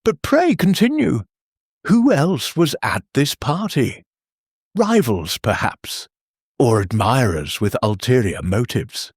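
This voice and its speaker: Elderly female sleuth read by a man: mild but shrewd, deliberate, expressive intonation, storybook mystery. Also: medium pitch, breathy edge, no room sound, clean studio sound, full character voice. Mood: gently probing. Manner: musing, deliberate, gently inquisitive. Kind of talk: audiobook character dialogue.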